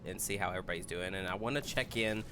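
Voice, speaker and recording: A man's voice: deep voice, fast talker, background noise. A man hosting a casual group podcast, steering the conversation and prompting the others. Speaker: podcast host.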